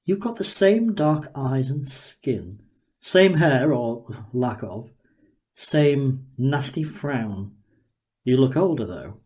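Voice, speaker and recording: A man voicing an excitable, sneering, slightly unhinged inventor in audiobook dialogue, taunting and full of questions. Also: medium pitch. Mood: sneering, appraising.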